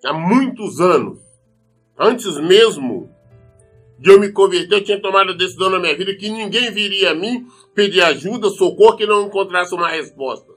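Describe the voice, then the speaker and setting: A man's voice: high voice, lively intonation, normal speed, a bit bright, faint music behind. A man with a Brazilian accent preaching an earnest, admonishing sermon, stressing his points with emphatic repetition. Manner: emphatic, repeats phrases for stress. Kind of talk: sermon with scripture reading.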